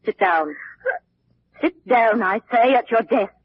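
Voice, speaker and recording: Cold, husky, domineering murderess. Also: female voice, fairly high pitch. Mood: cold, menacing. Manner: commanding, deliberate, menacing. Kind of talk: 1940s radio thriller acting. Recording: muffled, husky old-time radio recording.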